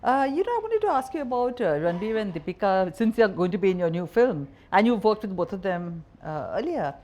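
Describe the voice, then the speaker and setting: A woman's voice: mid-range voice, very animated, fairly quick, darkish tone. A woman with an Indian accent interviewing informally, asking direct, teasing questions in a conversational style. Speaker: journalist interviewer.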